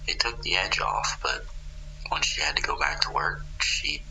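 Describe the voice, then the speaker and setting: A man playing a haunted fire lookout in a radio horror drama, recounting events in a halting, breathy, confessional monologue. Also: expressive, pushed and bright, slight background noise, heavily acted, low-quality recording. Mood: uneasy, subdued. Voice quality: low, gravelly, breathy. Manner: halting, breathy, half-whispered. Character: haunted male fire lookout recounting his wife's eerie death.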